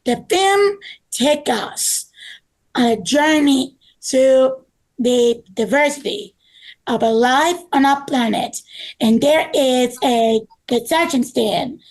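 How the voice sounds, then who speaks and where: A woman's voice: sits high, a little mellow, low-quality recording. A woman playing a friendly, cheerful tour guide friend in a table read of a children's story. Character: friendly, organised museum guide friend Tori.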